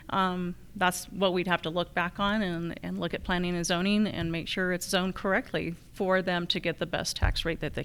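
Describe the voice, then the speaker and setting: A woman's voice: on the low side, a bit bright, clean tone. A woman with an American accent giving plain-spoken, conversational answers as a candidate at a forum. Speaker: candidate for local assessor.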